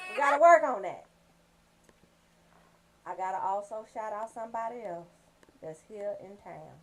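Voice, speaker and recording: A woman hosting a radio show, giving casual, comedic shout-outs in a sassy, joking, profane way. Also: mid-range voice, expressive, unhurried, long pauses, rough recording.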